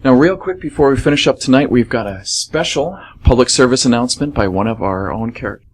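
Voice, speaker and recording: A man's voice: mid-range voice, animated, fairly quick, slight background noise. A man performing radio theatre, reading scripted story narration and announcements as a presenter.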